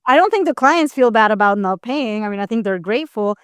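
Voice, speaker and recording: A woman's voice: on the high side, expressive, brisk, smooth, dry recording, clean studio sound. A middle-aged woman from Costa Rica answering interview questions conversationally, in a candid, reflective, explanatory way. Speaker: guest, anthropologist and expert witness.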